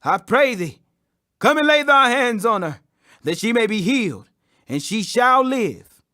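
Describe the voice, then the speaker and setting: A reverent father and synagogue ruler read by a male narrator beseeches earnestly in a high voice. His phrases rise and fall with feeling in a dry scripture recording. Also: very animated, measured pace, sharp tone, no echo, full character voice. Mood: earnest pleading.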